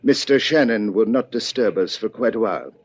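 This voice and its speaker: An actor playing a smooth, menacing gunman in 1950s radio drama, speaking with formal, mock-courteous phrases and repeating himself. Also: on the low side, brisk. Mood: mock-polite menace. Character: smooth, menacing gunman, mock-polite, talks to silent henchman. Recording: muffled, noisy old radio recording.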